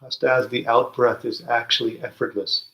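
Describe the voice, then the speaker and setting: A man leading a guided spiritual meditation, speaking gently with soft halting restarts and long pauses. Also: mid-range voice, little pitch movement, poor audio.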